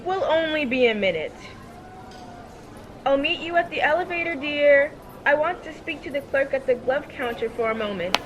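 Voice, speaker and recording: A woman playing an effusive, pushy high-society friend in an old-time radio drama, theatrical and insistent. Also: expressive, normal speed, dull audio, noisy background. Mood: breezy, gushing.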